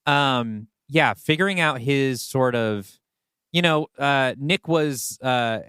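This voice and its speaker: Clear-voiced man casually floating ideas. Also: mid-range voice, slow, fairly bright tone, no room sound, studio quality. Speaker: podcast co-host and writer. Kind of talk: casual story brainstorming.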